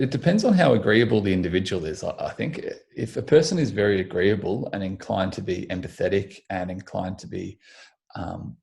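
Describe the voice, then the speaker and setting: Young Australian man, physiotherapist guest, very deep voice with vocal fry, thoughtful and hesitant. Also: warm tone. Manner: measured, with frequent restarts and ums. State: slightly croaky in the morning. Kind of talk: thoughtful interview answers.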